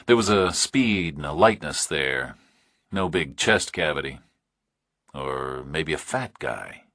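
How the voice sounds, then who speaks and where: Very deep, gravelly male thriller narrator. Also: sing-song, slow pace, pushed and bright, no room sound, studio quality. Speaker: audiobook narrator.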